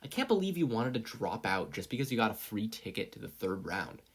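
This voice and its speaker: Casting: man as smug voice of conscience in an audio story, low, sardonic, chiding, sensible. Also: big pitch swings, fairly quick, husky, full character voice. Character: smug, sensible inner conscience of a teenage boy.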